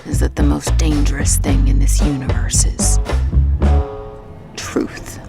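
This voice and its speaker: An actress playing a world-weary exiled ex-cop in noir audio drama narration, brooding, wry and terse. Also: female voice, level tone, slow pace, vocal fry, full character voice. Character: world-weary exiled woman, ex-cop and ex-boxer, hard-boiled. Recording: loud music and engine rumble behind.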